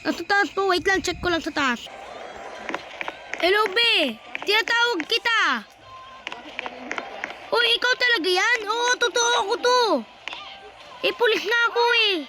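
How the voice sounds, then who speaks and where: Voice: extremely high pitch, expressive, unhurried, long pauses. An exclamatory, slangy voice acting out an improvised horror skit as a series of phone calls, with music behind.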